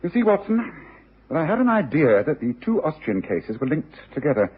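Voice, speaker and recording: Husky male Victorian detective, authoritative and lively in pitch, for radio drama on a muffled old recording. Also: brisk. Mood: explaining, assured. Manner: authoritative, precise, explanatory. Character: brisk, commanding male Victorian detective, confident and analytical.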